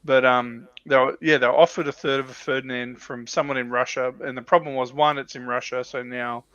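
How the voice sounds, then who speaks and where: A man's voice: mid-range voice, steady tone, brisk, fairly bright tone, no echo. A man chatting casually as an enthusiast on a livestream, often restarting his sentences as he goes. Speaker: livestream panellist. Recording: slightly dull, dry lo-fi audio.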